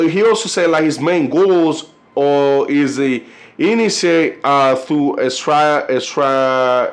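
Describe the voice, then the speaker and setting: A man from Colombia with a Colombian Spanish accent, a native Spanish speaker talking casually to camera as a vlogger, rambling with lots of fillers and stumbling when he reads aloud. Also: a little high. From Cartagena, Colombia. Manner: loose, rambling, constant "you know" and "like" fillers; halting when reading aloud. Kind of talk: casual video chat.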